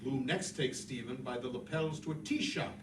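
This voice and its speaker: A man's voice: mid-range voice, moderate pace, natural voice. A man playing a genial, self-satisfied Irish novelist who tells his story to an audience with chatty, wry asides. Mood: wry, storytelling. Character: James Joyce, a genial, boastful, digressing Irish novelist. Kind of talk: theatrical storytelling monologue to an audience. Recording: echoey, distant, noisy room recording.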